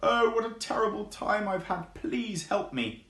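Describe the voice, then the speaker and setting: Man doing a croaky, high, frightened tortoise. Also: expressive, measured pace, a bit bright, echoey room, heavily acted. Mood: frightened, pleading. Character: frightened, injured old tortoise, male, pitiful.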